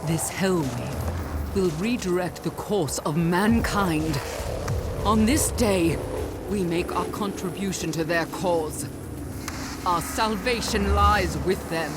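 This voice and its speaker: An actress playing a fervent, scheming scientist villain in a sci-fi game, with deliberate, intense delivery. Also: female voice, on the low side, loud music behind the voice. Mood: fervent, proclaiming. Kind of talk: cinematic sci-fi game voice acting.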